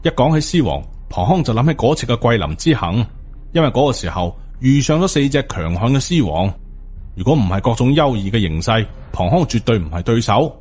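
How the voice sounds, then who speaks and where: A man's voice: medium pitch, big pitch swings, a little fast, darkish tone, slightly rough. A man with a Hong Kong Cantonese accent reading scripted supernatural horror fiction as an audiobook narrator. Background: music.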